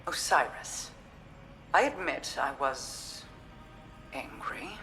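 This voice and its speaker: An actress playing a regal, guarded and calmly resolute queen in a video game, speaking in a measured, formal, restrained manner. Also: extremely low pitch, soft background music, heavily acted. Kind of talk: dramatic video game dialogue.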